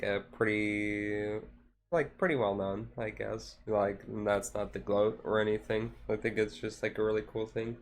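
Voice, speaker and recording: A man chatting casually on a chill livestream, rambling and halting as he goes. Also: on the low side. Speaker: streamer.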